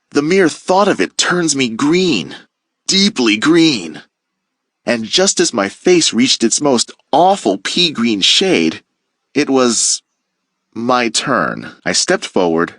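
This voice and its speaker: A man teacher reading a children's picture book aloud with playful, expressive storytelling. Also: medium pitch, slow, no echo, clean studio sound.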